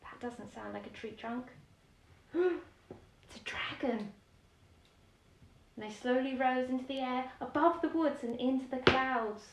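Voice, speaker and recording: A woman's voice: high voice, measured pace, long pauses, background noise. A woman reads a children's bedtime story expressively, with chatty explanations and questions for young listeners. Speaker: storyteller reading a children's picture book. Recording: roomy echo, distant microphone.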